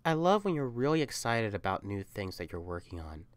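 A woman plays a protective, loving young catperson in an ASMR-style roleplay. The voice sits low and moves expressively up and down, recorded close and dry. The delivery is tender and coaxing, with emphatic reassurance for a partner. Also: very animated, fairly quick, dry recording. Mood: fond.

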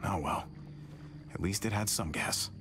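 An actor playing a gruff, protective man surviving a zombie apocalypse in a video game, muttering in terse, understated lines. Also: low voice, measured pace, background noise. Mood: wry, muttering. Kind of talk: naturalistic video game voice acting.

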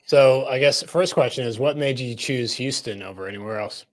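A man's voice: mid-range voice, fairly quick. A middle-aged man from Egypt hosting an interview show from his home studio, enthusiastic and fond of repeating words for emphasis. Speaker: host and coach. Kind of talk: casual interview over video call. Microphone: studio microphone on arm.

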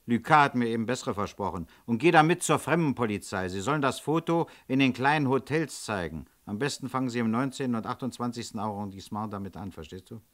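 An actor playing a calm, pensive police chief inspector, terse and commanding, in a German radio crime drama. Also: male voice, medium pitch, quick pace, hardly any pauses, close to an everyday voice. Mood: pensive, decisive.

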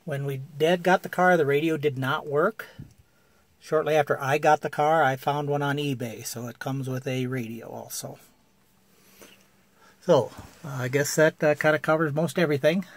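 A man's voice: fairly high pitch, moderate pace, no echo. A man filming his old car on a handheld phone, describing it in a plain, matter-of-fact way with many fillers, outdoors and inside the car. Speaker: car owner showing an old car. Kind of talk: casual walkaround video. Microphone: handheld phone camera.

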